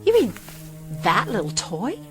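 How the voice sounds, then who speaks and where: An anxious, stubborn cabin dweller, expressive. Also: female voice, mid-range voice, unhurried. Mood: baffled. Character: frightened but stubborn woman living in remote cabin. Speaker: actress in a television drama. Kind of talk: 1960s TV drama acting. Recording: vintage TV soundtrack with music.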